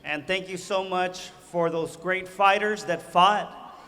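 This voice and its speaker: A man's voice: sits high, steady tone, slow, pushed and bright. A man who is a city council member elect giving a short, heartfelt, rambling speech. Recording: reverberant hall.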